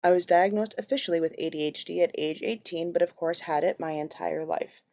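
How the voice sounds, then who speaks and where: A woman's voice: on the low side, a little fast, dark tone. A woman in her thirties from Minnesota with a Minnesotan accent, earnestly reading a prepared class presentation. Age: 30s. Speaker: student presenter. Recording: slightly muffled lo-fi recording.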